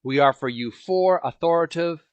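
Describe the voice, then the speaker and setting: A man reading a book aloud as an amateur narrator, with emphatic, dramatic phrasing and frequent pauses. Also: a little high, no room sound, dull audio. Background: music.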